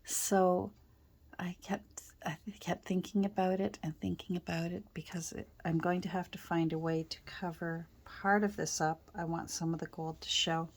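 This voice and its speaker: Low, gravelly woman with a stuffy cold. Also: steady tone, moderate pace. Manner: soft, often drops to a whisper, rambling. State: congested from a cold. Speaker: journal-making crafter. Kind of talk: casual chat while crafting. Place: indoors at a craft worktable.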